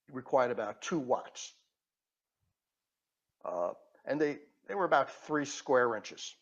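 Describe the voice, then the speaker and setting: A man's voice: mid-range voice, fairly bright tone, dull audio. A man from Queens, New York with a Queens accent, a podcast guest explaining technical matters methodically with fillers and restarts. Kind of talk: casual podcast chat.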